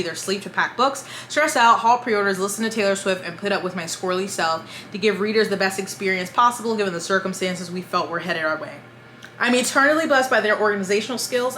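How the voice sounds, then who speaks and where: Book channel host, woman, reads a statement aloud at a quick clip, roomy echo. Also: medium pitch. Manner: brisk, steady read-aloud delivery. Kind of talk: reading a written statement aloud.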